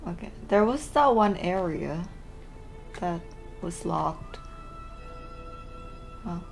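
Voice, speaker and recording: A woman's voice: fairly low pitch, animated, slow pace, creaky, soft background music. A woman streaming a game with casual let's play commentary, musing aloud as she reacts to it.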